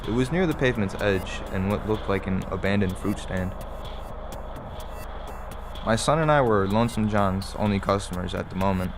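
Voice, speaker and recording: A middle-aged man playing a wry, measured father who narrates a strange roadside tale in a radio play. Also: lowish voice, background noise, lightly acted. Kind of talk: scripted first-person radio play narration. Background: music.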